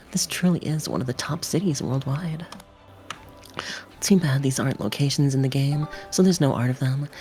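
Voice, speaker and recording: A woman with a low voice narrates a game for a livestream. She reads the script aloud, with faint music behind her. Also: mellow.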